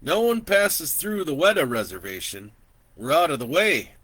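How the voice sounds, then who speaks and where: A man's voice: a little high, sing-song, moderate pace, a bit bright, no room sound, pitched above the performer's own voice. A man voicing an easygoing, welcoming older landowner who rides a horse on his land, in a spoken story. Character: friendly older male horse-riding landowner.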